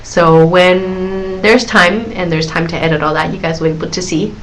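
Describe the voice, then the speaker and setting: Chatty, giggly woman with a low voice. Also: steady tone, normal speed, a bit bright, slight background noise, poor audio. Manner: chatty and giggly, with many fillers and restarts. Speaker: singer hosting a live Q&A stream. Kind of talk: casual live-stream Q&A.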